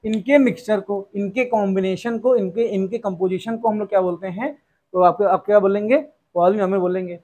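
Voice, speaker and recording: Young male maths teacher, high voice, keeps asking and answering his own questions. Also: fairly even intonation, fairly quick, a little mellow. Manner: repetitive rhetorical questions, addresses students as "beta". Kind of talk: classroom lesson explanation.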